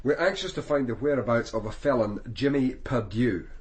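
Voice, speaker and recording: An actor playing a brisk senior police officer in a radio crime drama, giving orders in formal, commanding phrases. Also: male voice, mid-range voice, animated, lightly acted. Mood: brisk, authoritative.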